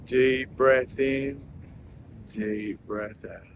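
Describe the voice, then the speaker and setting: A man leading a guided breathing meditation in a soothing, gentle manner, leaving long pauses between phrases. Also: mid-range voice, steady tone, slight background noise.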